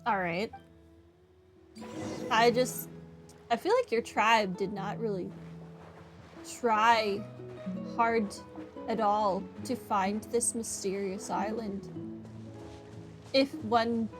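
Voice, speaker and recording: A woman's voice: mid-range voice, fairly even intonation, extremely slow, pauses often. A woman giving casual, wry and sarcastic commentary while playing through a video game. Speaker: gamer, playthrough host. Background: game music.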